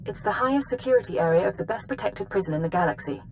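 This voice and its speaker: Woman as stern spy boss in a video game, formal and authoritative, normal pitch, bit of background noise. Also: expressive, very fast, darkish tone, close to an everyday voice, poor audio. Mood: stern, serious. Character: stern female intelligence handler issuing mission orders.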